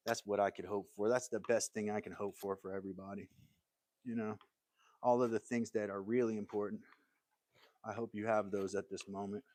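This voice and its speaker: A man's voice: lowish voice, little pitch movement, fairly quick, darkish tone, dry recording. Easygoing man chatting on a livestream. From United States. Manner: easygoing, rambling, reads out viewer comments. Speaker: livestream host, woodworker. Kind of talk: casual livestream chat. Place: his workshop.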